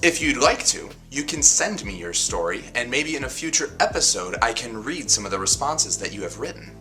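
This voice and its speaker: A man reading a picture book aloud as a host, in an expressive storybook style with dramatic, deliberate phrasing and emphatic pauses. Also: medium pitch, soft background music.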